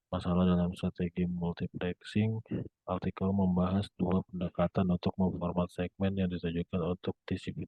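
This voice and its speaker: A young man reads a prepared academic presentation in a low, very monotonous voice. He stumbles now and then over words. The sound is thin and phone-like. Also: no room sound. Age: young adult. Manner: monotone read-aloud with occasional stumbles. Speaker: student presenter. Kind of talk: scripted reading, academic presentation. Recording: muffled phone-like narrow band sound.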